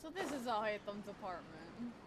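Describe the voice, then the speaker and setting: Animated woman gamer. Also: medium pitch, normal speed, very noisy. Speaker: gaming streamer. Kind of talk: casual playthrough commentary. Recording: loud game music behind.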